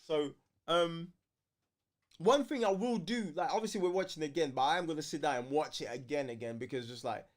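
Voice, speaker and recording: A man's voice: on the high side, fairly quick. A man with a London British accent chatting casually as co-host of a fan livestream, slangy, opinionated and emphatic. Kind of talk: casual fan debate chat.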